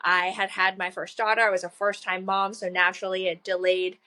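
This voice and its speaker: A woman in her thirties chats as a podcast guest, earnest and upbeat. She laughs easily and fills her pauses with ums and uhs as she talks. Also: medium pitch, little pitch movement. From China. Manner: warm, earnest, laughs often, many fillers. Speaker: guest, children's book author. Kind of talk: casual interview chat.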